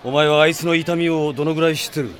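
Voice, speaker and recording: Rough, caring male mercenary, played by an actor, slightly high register, wry and offhand, radio drama. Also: steady tone, racing, close to an everyday voice. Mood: serious, concerned. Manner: blunt, casual, wry. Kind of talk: Japanese radio drama acting.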